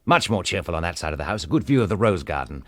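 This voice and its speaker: A well-bred English master of a country house in a radio play. His voice is lowish and very animated, recorded dry. He is brisk, casual and sure of himself. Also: dry recording. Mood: breezy, brisk. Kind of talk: radio drama acting.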